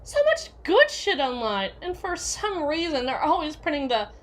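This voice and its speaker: High, swooping, jealous yandere girl acted by a woman. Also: big pitch swings, moderate pace. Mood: wry, grumbling. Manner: sardonic, foul-mouthed, needling, with hesitant trailing repeats. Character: domineering, jealous, possessive yandere young woman, snarky and conniving. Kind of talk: scripted ASMR roleplay addressed to the listener.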